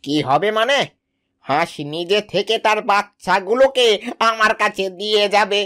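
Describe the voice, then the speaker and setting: Crafty, high-pitched cartoon fox. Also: male voice, expressive, normal speed, sharp tone. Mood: scheming. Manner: smug, crafty, plotting. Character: sly scheming adult male fox. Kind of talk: cartoon voice acting.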